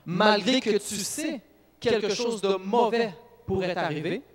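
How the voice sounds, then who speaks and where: Man preaching with animated bursts. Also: sits high, measured pace, crowd noise. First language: English. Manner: animated preaching with restarts and self-corrections. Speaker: youth speaker. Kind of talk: sermon. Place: church.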